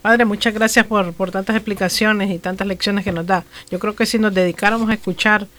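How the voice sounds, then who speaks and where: A chatty, playful radio co-host speaks with a middle-pitched voice. The co-host thanks the priest and often restarts phrases midway. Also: fairly even intonation, fairly quick, fairly bright tone.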